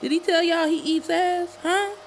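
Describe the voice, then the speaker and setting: A woman's voice: extremely high pitch, fairly even intonation, moderate pace, slight background noise, pitched above the performer's own voice. A woman voicing a furious, foul-mouthed, scorned hairstylist in an audiobook, confrontational and emphatic. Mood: angry, mocking.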